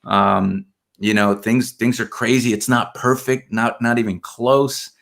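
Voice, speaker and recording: A man from Argentina, a podcast guest in his thirties, telling his story in a relaxed way, full of "man" and "you know". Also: medium pitch, a little slow, vocal fry. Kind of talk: casual conversational interview.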